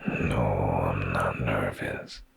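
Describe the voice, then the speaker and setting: A man speaking in a soft, hushed, soothing ASMR style, reading slowly like a bedtime storyteller, close to the microphone. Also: steady tone. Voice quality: very deep, gravelly, breathy near-whisper.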